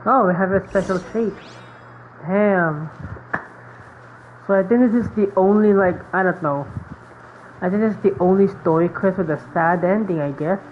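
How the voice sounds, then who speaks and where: Casting: female game streamer, mid-pitched, casual rambling commentary, muffled mic with faint noise. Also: moderate pace, pauses often. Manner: rambling, filler-heavy musing. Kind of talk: casual live gameplay commentary.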